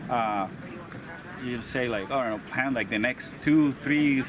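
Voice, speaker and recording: A man's voice: mid-range voice, measured pace. A man from Venezuela with a Venezuelan Spanish accent, talking in a hesitant, casual way as an interview guest. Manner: hesitant, many fillers and restarts. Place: outdoors at an overland rally. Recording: outdoor recording with clear background noise.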